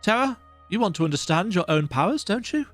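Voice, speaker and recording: A man's voice: sits high, big pitch swings, a little fast, pitched above the performer's own voice. A man voicing earnest, urgent heroes in dramatic dialogue from a fantasy role-playing game. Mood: earnest, persuading. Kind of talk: voiced game dialogue reading. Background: video game music.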